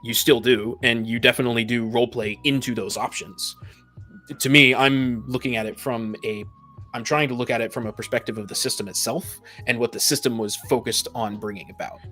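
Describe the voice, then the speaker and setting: A man's voice: mid-range voice, steady tone, brisk, sharp tone, faint music behind. A man in a casual panel discussion about games, explaining his opinions at length. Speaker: podcast panelist. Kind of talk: casual discussion of tabletop gaming.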